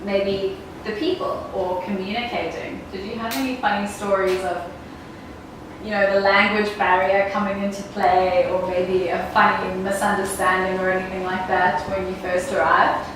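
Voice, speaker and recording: A woman's voice: medium pitch, flat delivery, moderate pace, background noise. A woman interviewing candidates, chatty and encouraging, laughing easily. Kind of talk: casual interview questions. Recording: echoey room, far from mic.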